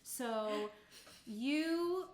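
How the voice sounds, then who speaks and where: A woman's voice: on the high side, animated, extremely slow, a little hiss or hum. A woman narrating as game master of a tabletop roleplaying game in a casual, improvised, easygoing way. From Canada. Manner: giggly, easygoing, conversational. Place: indoor room with other players. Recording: roomy, echoing room sound.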